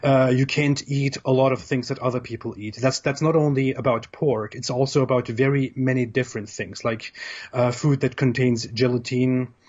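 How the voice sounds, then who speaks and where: A man's voice: medium pitch, fairly even intonation, normal speed, a little mellow. A man from southern Germany with a German accent, talking conversationally as an interview guest and often repeating words as he searches for them. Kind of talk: conversational interview, personal account. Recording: dull, lo-fi audio.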